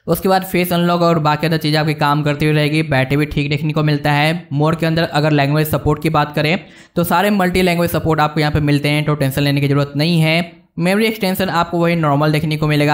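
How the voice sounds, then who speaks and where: Casting: Indian man, somewhat high-pitched, informal tech video host walking viewers through a screen, English words mixed in. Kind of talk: casual screen-walkthrough review narration.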